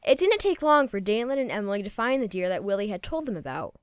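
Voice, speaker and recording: A woman reading a children's story aloud in an expressive, folksy first-person storytelling manner. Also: mid-range voice, fast, clear, resonant tone, dry recording, clean studio sound. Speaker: storybook reader.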